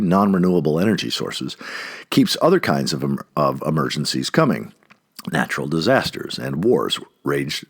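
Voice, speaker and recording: Man, deep voice, highly varied intonation, emphatic essay reading, dry close sound. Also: husky, no room sound. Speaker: audiobook-style essay reader. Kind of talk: expressive read-aloud of an essay.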